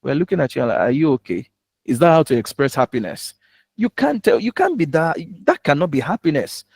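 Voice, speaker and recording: A man's voice: fairly high pitch, big pitch swings, fairly quick, vocal fry, a little mellow, slightly rough, no room sound, clean studio sound. A man with a Nigerian accent giving an instructional talk as a public speaking coach, emphatic and given to rhetorical questions.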